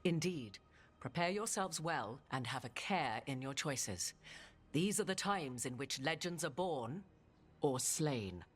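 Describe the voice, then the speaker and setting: A woman's voice: low voice, lively intonation, takes it slowly, husky, heavily acted. A woman playing a regal, cryptic witch and arcane advisor in a video game, with formal, archaic, theatrical speech. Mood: solemn. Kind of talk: video game dramatic acting.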